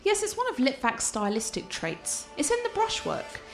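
A woman's voice: high-pitched, wildly swooping pitch, moderate pace, gravelly, soft background music, exaggerated voice. A woman playing a cultured, confident art scholar and teasing fiancée in a radio play, articulate and playful. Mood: enthusiastic.

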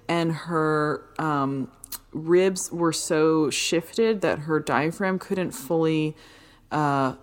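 Low-voiced woman chatting on a podcast. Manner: rambling, many fillers, laughs easily. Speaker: podcast co-host, fitness and dance teacher. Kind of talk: casual podcast chat.

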